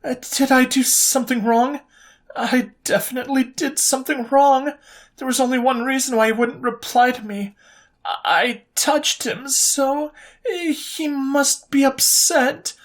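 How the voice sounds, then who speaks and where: A woman's voice: high-pitched, steady tone, measured pace, voice pushed up. A storyteller voicing an anxious young woman officer who stammers and pleads, spiraling into self-blame.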